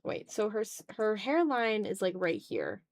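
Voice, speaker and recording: A woman's voice: medium pitch, huge pitch swings, measured pace, a little mellow. A woman hosting a casual art livestream, thinking aloud in a self-deprecating way as she draws. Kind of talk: casual chat while drawing on a livestream.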